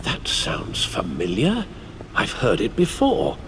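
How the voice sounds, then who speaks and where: An actor playing a courteous, wry older butler in a video game, speaking in polite, measured, formal phrases. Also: male voice, on the low side, wildly swooping pitch, full character voice. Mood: wary, wry. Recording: clearly noisy background.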